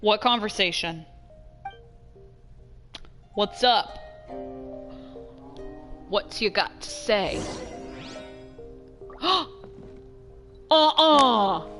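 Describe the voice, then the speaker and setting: A woman's voice: fairly high pitch, animated, very slow, pauses often, fairly bright tone. A woman casually reacting and chatting expressively as she plays through a story game. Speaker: let's play streamer. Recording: game music behind.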